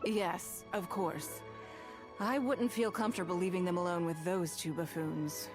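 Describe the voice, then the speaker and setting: A woman's voice: fairly low pitch, fairly even intonation, lightly acted. A woman voicing a shrewd, poised noblewoman in an anime game, composed and formal, firm but kindly. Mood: reflective. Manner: composed, formal, measured authority. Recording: music behind the voice.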